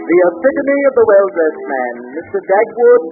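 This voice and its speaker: An actor playing a polished, gushing fashion announcer and reporter in 1930s radio comedy, showy and polite, on an old recording. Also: male voice, high-pitched, big pitch swings, moderate pace, over loud music. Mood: grand. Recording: tinny 1939 radio recording.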